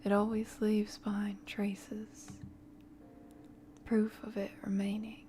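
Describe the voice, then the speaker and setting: A woman voicing a weary, grumpy male investigator in a visual novel, hushed, reluctant and curt. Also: medium pitch, monotone, takes it slowly, slight background noise, natural voice. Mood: weary brooding. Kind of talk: visual novel character reading.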